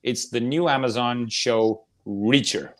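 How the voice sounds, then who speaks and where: A man with a medium-pitched voice talks as a panelist on a casual podcast. His delivery is informal and enthusiastic, like someone eagerly recommending things to friends. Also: slow. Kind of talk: casual chat about films and TV shows.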